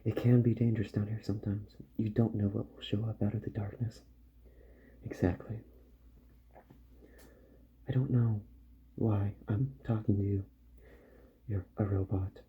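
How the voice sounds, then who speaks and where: A soft-spoken man in an ASMR roleplay, murmuring unhurriedly to the listener as a repair doctor at work, often dropping toward a whisper. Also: on the low side, little pitch movement, unhurried, long pauses, husky, lo-fi sound.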